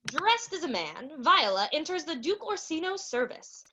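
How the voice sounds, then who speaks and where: A woman's voice: sits high, big pitch swings, normal speed, bright, forceful tone. A woman narrating a radio play from a script in a storytelling style, with noise behind her. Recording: clear background noise.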